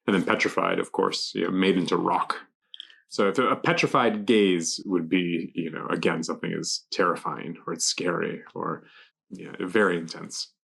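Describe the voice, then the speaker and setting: A man casually explaining English vocabulary off the cuff, pausing to think and offering loose examples. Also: fairly even intonation, a bit bright. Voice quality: gravelly, low. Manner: halting, thinking aloud with examples. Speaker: guest explaining English words. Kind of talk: casual unscripted word explanations.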